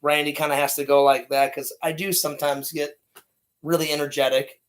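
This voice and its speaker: A man in his late thirties, co-hosting a casual chat with a loud, energetic, rambling way of talking. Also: fairly high pitch, brisk. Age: 30s. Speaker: podcast co-host.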